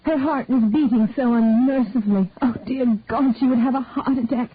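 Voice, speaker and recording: Female story reader with a high voice, measured narration, on a tinny, phone-like recording. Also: slight background noise. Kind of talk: scripted narration of a detective story. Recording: dry, tinny phone-like audio.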